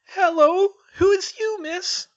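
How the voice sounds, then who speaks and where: A quivering, squeaky house-elf girl. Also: takes it slowly, highs cut off. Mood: nervous. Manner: broken grammar, deferential. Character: timid female house-elf, frightened and anxious.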